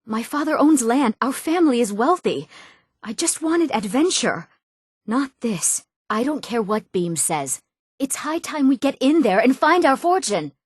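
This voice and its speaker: A woman's voice: a little high, sing-song, measured pace, breathy edge, no room sound, studio quality, full character voice. A woman playing a headstrong, eager young treasure hunter in a fantasy game, with a youthful voice. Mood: defiant. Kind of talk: scripted fantasy game dialogue.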